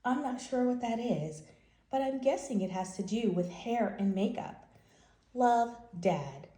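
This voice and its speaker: A reasonable, patient storybook dad read by a woman speaks in measured letter form. The pace is leisurely, the tone mellow, and the room adds some echo. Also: on the high side, animated. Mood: apologetic.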